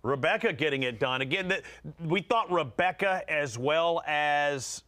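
A man's voice: fairly high pitch, animated, unhurried, bright, forceful tone. Middle-aged male host reading catch updates. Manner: chatty, reads off catch alerts. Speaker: fishing show host. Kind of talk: casual studio commentary. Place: TV studio set.

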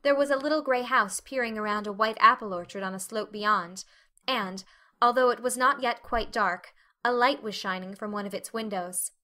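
Female audiobook narrator, crisp bright tone, slightly high pitch, expressive storytelling, measured delivery. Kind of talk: expressive scripted literary narration.

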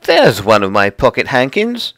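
Man doing a very high, inquisitive little girl. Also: huge pitch swings, normal speed, a bit bright, exaggerated voice. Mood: delighted. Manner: wide-eyed, questioning, breathlessly eager. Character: curious, frightened-then-delighted little girl. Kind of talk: storybook character voice.

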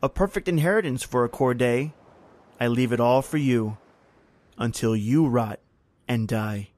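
An actor playing a hateful old servant in a radio horror drama, speaking with deliberate menace. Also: male voice, mid-range voice, darkish tone, no echo, lightly acted. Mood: bitter, hateful. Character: bitter old male servant consumed by lifelong hatred.

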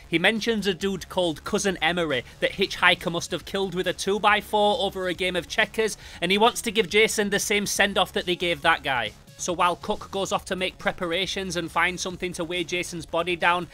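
A man reviewing a comic book in an animated, sweary storytelling style. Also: high voice. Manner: excitable, foul-mouthed, makes sound effects.

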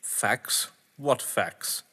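An actor playing a haughty, well-to-do English gentleman in an audio drama, florid and evasive, with an English accent. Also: extremely slow, heavily acted. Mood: dismissive, wary. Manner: pompous, florid, theatrical. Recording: reverberant hall with music behind.

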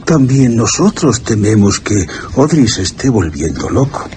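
Mellow, formal gentleman guardian. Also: on the low side, sing-song. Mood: grave, courteous. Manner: courteous, grave, measured. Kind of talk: period radio drama acting. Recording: slightly noisy radio drama.

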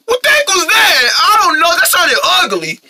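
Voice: very high-pitched, huge pitch swings, moderate pace, creaky, raised voice, very noisy, exaggerated voice. A performer voicing an easily frightened, whiny cartoon boy who exclaims dramatically. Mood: alarmed. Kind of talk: cartoon voice acting.

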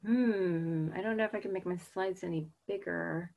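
A woman's voice: lowish voice, normal speed, creaky, lo-fi sound. A woman in her forties with an American accent, chatting casually on a video call webinar and reading out audience answers. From United States. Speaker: webinar presenter. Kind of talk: casual chat while waiting to start. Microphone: video call webcam.

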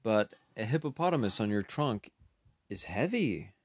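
A man reading a picture book aloud, voicing an elephant telling a long story, slow and dramatic. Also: on the low side, big pitch swings, slight background noise. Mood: earnest. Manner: slow, dramatic storytelling with sing-song sounds. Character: gloomy elephant telling his tale.

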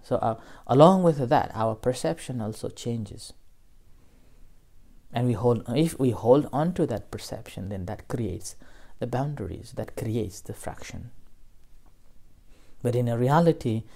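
Calm guy from nepal teaching meditation, slow and mellow, webcam at his desk. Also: mid-range voice, vocal fry. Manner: slow, gentle, with pauses and fillers. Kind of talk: dharma talk. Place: small room indoors.

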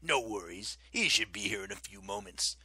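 A man voicing a mocking ancient werewolf king, speaking low and quiet with silky menace. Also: animated, normal speed, breathy edge, no room sound, heavily acted, rough recording.

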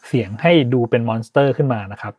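A man hosting an informal software tutorial, speaking politely and hesitantly as he thinks aloud. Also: mid-range voice, quick pace, husky, dry recording, highs cut off. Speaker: tutorial host, sound designer.

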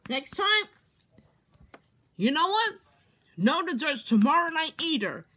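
A woman voicing a bossy, exasperated female caretaker in an amateur toy skit, scolding with drawn-out, emphatic commands. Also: high-pitched, big pitch swings, bright, forceful tone, heavily acted. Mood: stern, scolding. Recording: noisy homemade recording.

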